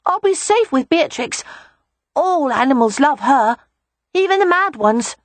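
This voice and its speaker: A woman voicing a playful four-year-old boy in an audiobook, with a high childlike voice. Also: animated, unhurried, no echo. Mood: coaxing. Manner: high, bright, childlike pleading.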